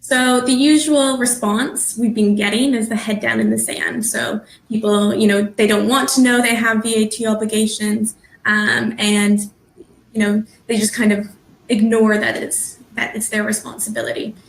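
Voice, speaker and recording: A woman's voice: on the high side, normal speed, gravelly, echoey room, low-quality recording. A woman with a Canadian accent, a business guest speaking casually on a webinar with frequent ums. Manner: relaxed and friendly, with frequent ums. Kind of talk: webinar presentation. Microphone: video call.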